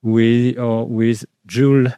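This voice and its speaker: A man hosting a radio interview show, asking questions hesitantly with many pauses and restarts. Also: medium pitch, level tone, mellow, clear, resonant tone, dry recording, studio quality.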